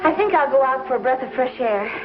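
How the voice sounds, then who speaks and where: High-voiced, homesick young pioneer wife. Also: female voice, lively intonation, fast talker. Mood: guarded. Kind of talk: 1950s television western acting. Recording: dull 1950s TV soundtrack with music.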